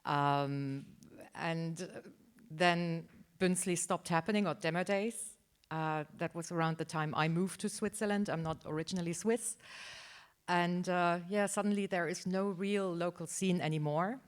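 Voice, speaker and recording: A woman with a somewhat low voice speaks at an informal roundtable. Her delivery is chatty, with wry little asides as she explains. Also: steady tone, measured pace, clear, resonant tone. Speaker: demo party organizer on a panel.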